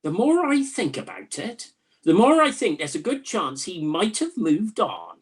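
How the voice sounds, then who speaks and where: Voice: mid-range voice, huge pitch swings, a little slow, breathy edge, heavily acted. A performer playing a bored, blunt Trojan soldier who teases a mate drily in a comic radio play. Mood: musing. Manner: dry, mocking, matey banter.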